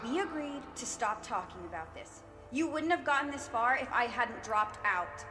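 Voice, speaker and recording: An actress playing a guarded, frustrated sister in a stage drama. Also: female voice, a little high, animated, moderate pace, over music, close to an everyday voice. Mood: hurt, indignant. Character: guarded, frustrated older sister of an ambitious student. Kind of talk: stage-play dialogue acting. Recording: reverberant hall sound.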